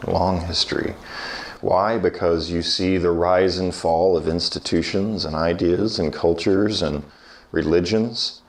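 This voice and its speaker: A man who teaches history, talking alone in a measured, reflective way with frequent pauses and restarts. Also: low-pitched. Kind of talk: solo talk to camera.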